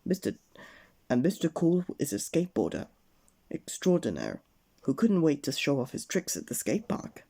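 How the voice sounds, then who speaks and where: Dark-voiced man reading a bedtime story. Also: fairly high pitch, wildly swooping pitch, normal speed, gravelly. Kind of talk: children's storybook narration.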